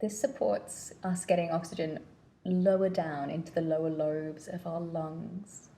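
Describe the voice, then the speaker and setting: Slow, creaky-voiced woman guiding meditation. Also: lowish voice, steady tone. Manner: gentle, unhurried, pausing between phrases. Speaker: meditation guide. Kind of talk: guided meditation, slow soothing instruction.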